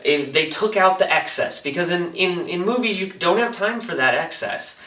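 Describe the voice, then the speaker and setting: A roomy, echoing recording of a man with a slightly high voice, a little way from the microphone. He reviews films casually and enthusiastically, in a colloquial, animated way, slipping into acted-out reactions as he goes. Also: normal speed. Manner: animated, colloquial, acts out reactions in quoted asides. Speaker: film reviewer. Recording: roomy echo, distant microphone.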